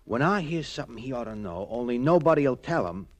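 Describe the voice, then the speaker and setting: A man's voice: medium pitch, expressive, brisk, lightly acted. Man playing a slangy American stool pigeon. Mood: confiding. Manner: slangy, ungrammatical underworld talk. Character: small-time male stool pigeon, streetwise and chummy. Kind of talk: 1940s radio crime drama acting. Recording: old 1940s radio transcription.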